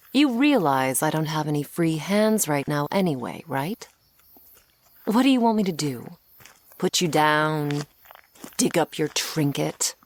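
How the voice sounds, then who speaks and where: A woman playing a teasing, menacing century-old female vampire in an intimate ASMR roleplay, sardonic and unhurried, sometimes slipping toward a whisper. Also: deep voice, dry recording. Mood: exasperated.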